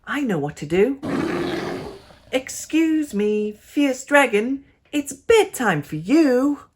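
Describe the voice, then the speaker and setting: Excitable little girl, very high-pitched. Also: slow, background noise, heavily acted. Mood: bold, excited. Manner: boastful, exclaiming, theatrical. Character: defiant, excitable, imaginative little girl refusing bedtime. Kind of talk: children's storybook character voice.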